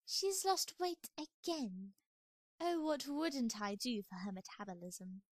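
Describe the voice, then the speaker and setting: A woman's voice: on the high side, lively intonation, slow. A woman playing a refined, fussy fashionista pony in an amateur audio drama, with an affected, genteel and dramatic manner. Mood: brisk, fussy.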